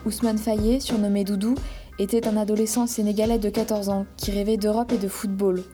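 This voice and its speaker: A woman journalist hosting a podcast, reading a scripted introduction and asking questions in a measured, deliberate way. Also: medium pitch, little pitch movement, over music.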